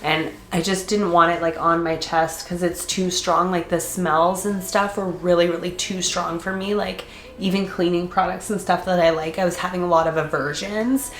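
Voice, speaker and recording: A woman's voice: lowish voice, steady tone, normal speed. A congested woman vlogger chatting casually, laughing often and filling her speech with fillers. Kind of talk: casual chat. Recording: echoey room.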